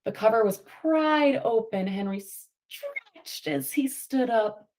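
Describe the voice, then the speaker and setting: Slow-paced woman teacher reading aloud. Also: a little high, animated, darkish tone, poor audio. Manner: instructional, prompting and affirming answers. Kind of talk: picture-book read-aloud with questions to a class.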